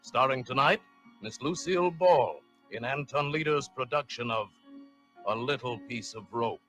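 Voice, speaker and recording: An American man formally introducing a 1940s radio suspense drama in a dramatic, deliberate manner. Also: mid-range voice, fairly bright tone, low-quality recording. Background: prominent music.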